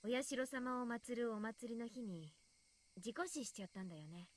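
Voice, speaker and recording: A teenage village girl from an anime visual novel, acted by a woman, speaks very quickly in a highish voice. She is a serious friend who is guarded and hesitant in how she shares things. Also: close to an everyday voice. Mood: hesitant, somber.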